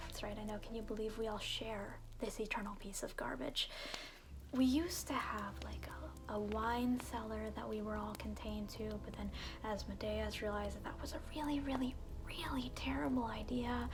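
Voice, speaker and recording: A smug, sardonic evil alter ego welcomes a newcomer to hell in a soft, slightly high whisper, as a woman acts it out. The drawl is slow and unhurried, full of mocking asides and repeated words, with clear music playing underneath. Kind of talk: whispered ASMR roleplay.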